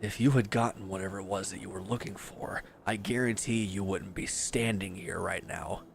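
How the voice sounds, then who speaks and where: Man acting a gravelly, bitter defeated villain. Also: fairly low pitch, a little hiss or hum. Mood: bitter, accusing. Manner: sardonic, accusing, weary. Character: bitter wounded villain, grieving and accusing. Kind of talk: scripted audio roleplay addressed to the listener.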